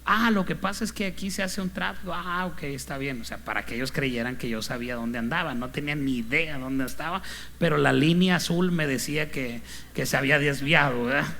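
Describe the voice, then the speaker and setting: A man's voice: a little high, a little fast, vocal fry, pushed and bright, slight background noise. A man with a northern Mexican accent preaching as a pastor in a conversational, anecdotal style with punchy, clipped delivery.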